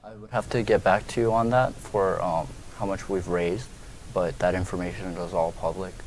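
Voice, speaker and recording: This young man has a very deep voice and speaks in a flat, even tone. He answers forum questions steadily and drops in an occasional "um". A faint noise sits in the background. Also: moderate pace, low-quality recording. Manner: steady, flat delivery with fillers. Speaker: school board candidate.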